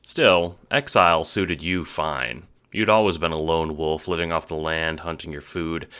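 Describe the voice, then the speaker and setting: A man's voice: very deep voice, fairly even intonation, unhurried, sharp tone, clean tone. A man narrating a scripted audio drama story as its narrator and voice actor.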